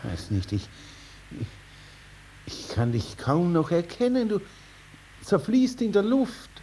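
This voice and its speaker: An actor playing a desperate farmer in a 1950s German radio drama, imploring and halting as he pleads for help. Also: sits high, huge pitch swings, warm tone, full character voice. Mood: bewildered pleading. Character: desperate, pleading male farmer losing his grip. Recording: noisy 1950s radio recording.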